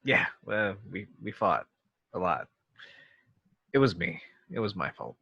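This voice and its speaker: A man playing an earnest actor famed as a heroic sci-fi captain in an amateur table read, halting and sheepish at times. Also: low voice, sing-song, creaky, bright, forceful tone, husky, no echo, dull audio, full character voice. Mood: sheepish, nostalgic.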